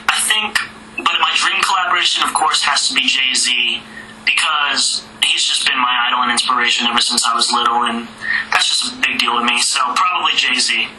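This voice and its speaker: A man from Georgia, a rapper, answering interview questions in a relaxed, chatty way with a higher-pitched voice and small laughs. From Georgia, USA. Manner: laid-back, chatty, with small laughs and fillers. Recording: distant, roomy, noisy line.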